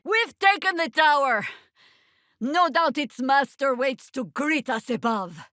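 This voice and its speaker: A woman's voice: high voice, animated, slow, sharp tone, dry recording, clean studio sound, full character voice. An actress playing a fierce, commanding female warrior with theatrical delivery in a fantasy video game. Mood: urgent. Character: fierce female warrior shouting battle challenges.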